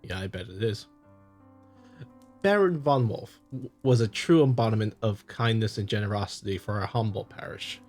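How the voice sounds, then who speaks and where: A man's voice: fairly low pitch, normal speed, a little mellow, soft background music. A man hosting a visual novel playthrough, chatting casually and reading aloud, joking and stumbling over the text. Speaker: host, gamer.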